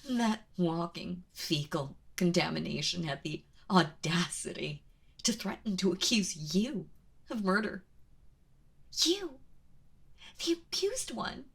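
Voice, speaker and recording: A woman playing a kind but obsessive, protective yandere in an intimate ASMR roleplay, speaking haltingly and often dropping toward a whisper. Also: mid-range voice, lively intonation, exaggerated voice. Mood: indignant, pained. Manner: hesitant, halting, breathy with drops into whispering.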